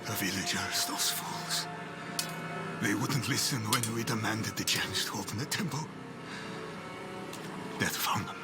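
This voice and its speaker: A man's voice: fairly low pitch, a little slow, long pauses, lightly acted. An actor playing a doomed, haunted man who grimly accepts death in a dramatic video game monologue. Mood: haunted, resigned. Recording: loud music under the voice.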